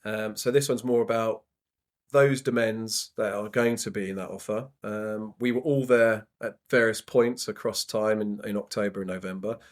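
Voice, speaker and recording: A man hosting a wine merchant's podcast, introducing colleagues in a casual, halting way with many ums and restarts. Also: lowish voice, steady tone. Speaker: podcast host.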